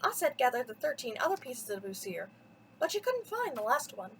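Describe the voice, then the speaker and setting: A woman's voice: sits high, sing-song, fairly quick, a little hiss or hum. A woman reading a mythology story aloud for children as a storyteller, with dramatic, theatrical emphasis. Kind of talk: expressive bedtime story read-aloud.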